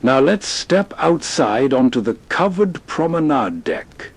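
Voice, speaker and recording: A man's voice: on the high side, big pitch swings, a little slow, over loud music. A man hosting with scripted, scene-setting narration. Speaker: narrator host.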